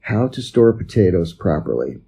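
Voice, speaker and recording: A man's voice: fairly low pitch, sing-song, moderate pace, gravelly, mellow, slightly rough. A man reading scripted informational passages aloud for an English lesson.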